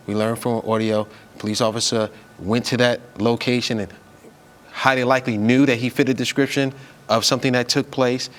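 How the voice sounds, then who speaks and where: A man's voice: medium pitch, a bit bright. A man speaking as an attorney guest on a studio panel, arguing with repetition and rhetorical questions. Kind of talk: televised panel commentary. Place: television studio.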